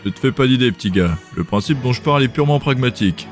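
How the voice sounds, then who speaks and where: A man voicing a gruff, pragmatic male companion who explains things bluntly in an audiobook. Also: animated, quick pace, faint music behind, natural voice. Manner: blunt, low and matter-of-fact.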